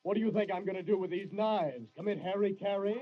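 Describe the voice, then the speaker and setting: An actor playing a sassy, combative waiter full of sarcastic wisecracks in a 1940s radio comedy. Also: male voice, high-pitched, fast, lightly acted. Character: sassy, wisecracking waiter quick with insults and diner slang. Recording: muffled, noisy old radio recording, clicks.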